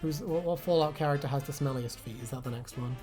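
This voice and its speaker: A man's voice: fairly high pitch, fast, music in the background. A man hosting a casual chat in a rambling, joking way with crude humour. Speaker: host.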